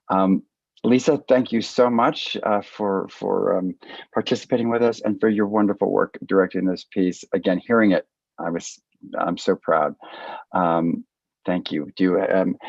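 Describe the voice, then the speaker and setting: A man with a fairly low voice hosts an informal panel discussion. He speaks haltingly and appreciatively. The sound is close and dry but somewhat muffled, as on a video call. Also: darkish tone, dry recording. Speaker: event host and moderator.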